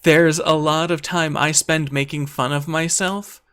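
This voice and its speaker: A man's voice: on the high side, fairly even intonation, a little slow, fairly bright tone, smooth. An American man who is a guest in a casual conversation, talking in a thoughtful, self-deprecating way. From United States. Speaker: guest YouTuber.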